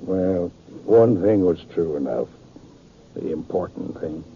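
An actor playing an aging, remorseful outlaw father in a 1950s radio western, speaking in a halting, heavy-hearted way. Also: low voice, narrow, tinny audio. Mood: bitter confession. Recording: noisy, band-limited 1950s radio recording.

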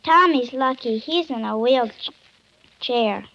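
A woman's voice: a little high, slow, bright, forceful tone, exaggerated voice. An actress playing an earnest, wistful, well-behaved little girl in a 1950s radio drama. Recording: muffled old 1950s radio broadcast.

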